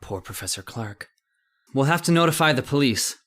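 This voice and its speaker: A man's voice: medium pitch, lively intonation, moderate pace, fairly bright tone, close to an everyday voice. A man playing a shaken, trusting doctor in old-time radio horror style, hesitant and exclamatory. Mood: shaken, subdued.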